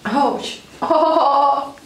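A woman's voice: sits high, fairly even intonation, extremely slow. A young woman, a massage client, crying out and giggling through pain in short bursts. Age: young adult. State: in pain, squealing and laughing. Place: indoor massage room, lying on table. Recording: echoey room with faint noise.

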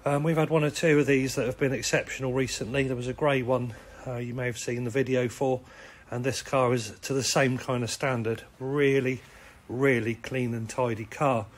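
A man with a croaky, hoarse voice and a British accent, casually talking through a car's features while filming in an indoor showroom. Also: medium pitch, normal speed. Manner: relaxed, chatty listing of features. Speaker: car salesman. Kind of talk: walkaround car sales video. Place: indoor car showroom with tiled floor.

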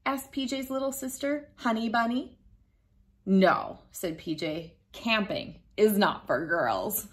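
Woman in her 30s doing a slow playful kids' storytime, phone mic in a bright room. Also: mid-range voice, animated. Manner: gentle, playful, laughing often. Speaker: children's book reader. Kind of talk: read-aloud storytime for kids.

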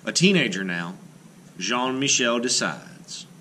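A man reading a children's picture book aloud expressively, with dramatic phrasing and deliberate pauses. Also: medium pitch, expressive, bright, forceful tone, slight background noise.

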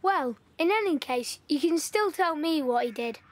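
Eager chatty wartime boy, high voice, woman in the role; audio play. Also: lively intonation, moderate pace. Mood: coaxing. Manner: eager, storytelling, pushy.

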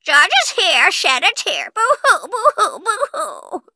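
An extremely high, piercingly bright cartoon parrot, cheeky and greedy. It squawks bossy, bragging chants and repeats itself, with wildly swooping pitch. It is a video game creature. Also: huge pitch swings, takes it slowly, no room sound, studio quality, exaggerated voice. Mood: taunting. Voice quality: shrill, squawking.

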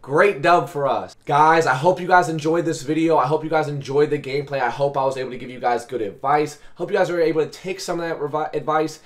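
A man in his twenties with a raspy, hoarse voice, giving live gameplay commentary as a coach in short, repeated, slangy phrases. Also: on the high side, fast, few pauses. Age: 20s. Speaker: gaming video host and coach. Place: small bedroom, indoors.

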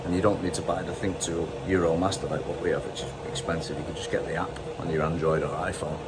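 A man's voice: low voice, level tone, quick pace, very noisy. A British man in his forties, a car mechanic talking casually and bluntly to his phone camera in a workshop. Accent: British English. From United Kingdom. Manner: blunt, chatty, rambling with fillers. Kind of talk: casual vlog chat. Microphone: phone held at arm's length.

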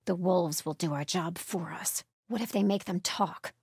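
An actress playing a devout, watchful cult sentry in a video game, speaking in a solemn, measured, pious way with a husky voice. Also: female voice, on the low side, a little mellow, no echo, studio quality. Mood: solemn vigilance. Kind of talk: video game ambient dialogue.

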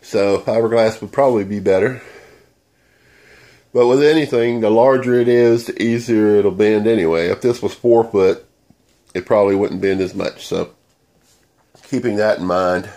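Stuffy guy w a cold, low mellow voice, rambling how-to on his phone in the sewing room. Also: moderate pace, long pauses. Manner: rambling, plain-spoken, many uh fillers. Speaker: DIY channel host. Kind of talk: casual how-to demonstration. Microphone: handheld phone camera.

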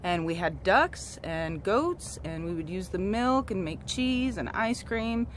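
A woman's voice: medium pitch, lively intonation, slow. Woman, 30s, homesteader host; casual rambling farm-tour vlog outdoors, handheld camera, light background hiss. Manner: chatty, with frequent restarts and ums. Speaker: goat farmer and channel host. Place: outdoors on a dry rural homestead. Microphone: camera held at arm's length, then walking behind it.

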